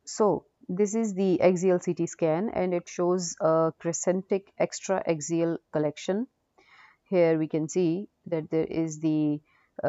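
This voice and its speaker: A woman with a low, mellow voice teaches as a radiology lecturer, talking through cases without a script. She explains hesitantly and repeats herself. The recording is dry and muffled. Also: dry recording. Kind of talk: unscripted case-review lecture. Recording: dull, dry lo-fi audio.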